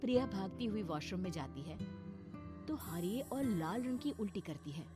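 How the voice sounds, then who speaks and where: A woman's voice: medium pitch, expressive, moderate pace. A woman reading a scripted horror story as narrator for a cartoon. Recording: loud music behind the voice. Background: loud background music.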